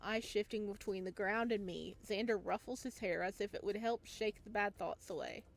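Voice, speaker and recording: A woman's voice: medium pitch, normal speed, vocal fry. A woman reading a visual novel aloud expressively as a let's-player, with casual asides, on a close home microphone.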